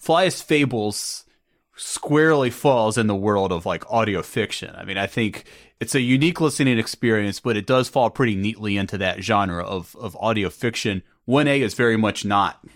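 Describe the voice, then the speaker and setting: A man hosting a podcast interview, asking measured, thoughtful questions. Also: on the low side, dry recording, clean studio sound. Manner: measured, thoughtful, with small restarts. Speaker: podcast host.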